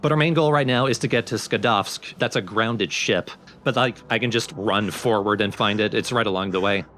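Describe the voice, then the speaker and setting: A man's voice: on the low side, moderate pace, fairly bright tone, slight background noise. A man giving casual, explanatory commentary over a video game, talking informally and step by step. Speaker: let's play commentator. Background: game music under the voice.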